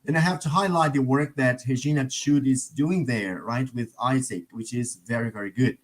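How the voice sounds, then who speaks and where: A man's voice: medium pitch, normal speed. Man hosting a panel, asking questions. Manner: guiding questions, tag "right?". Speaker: discussion host. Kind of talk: casual panel discussion.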